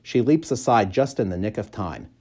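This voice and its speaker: A man reading a children's story aloud in expressive, measured, clearly phrased narration. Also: on the low side. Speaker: audiobook reader, school staff member.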